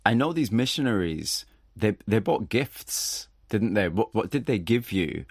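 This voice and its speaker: Man, interviewer and narrator, lowish voice, close dry sound, scripted storytelling and questions. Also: expressive, a little slow, vocal fry, slightly rough, dry recording.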